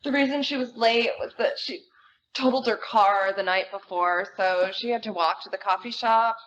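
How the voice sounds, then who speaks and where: A woman's voice: medium pitch, moderate pace, fairly bright tone. A woman from a theater troupe giving a live dramatic narration. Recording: dull live recording, faint room noise.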